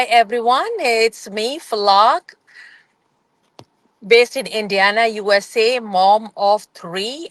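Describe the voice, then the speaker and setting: A woman on an online panel who reads slowly and carefully. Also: medium pitch, a bit bright, no room sound. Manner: slow, careful reading.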